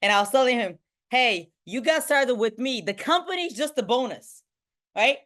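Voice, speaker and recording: A woman in her thirties from Bulgaria leads a motivational online training as a sales team trainer, talking excitably and often checking in with short questions. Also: on the high side, moderate pace. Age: 30s. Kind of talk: motivational online training talk to a live group.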